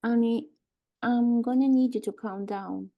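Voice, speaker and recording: A woman playing an anxious, apologetic hospital social worker in a dramatic table read, earnest, deliberate and pleading yet firm. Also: a little high, steady tone, gravelly, lo-fi sound. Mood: urgent, pleading. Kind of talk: live screenplay table read, dramatic acting.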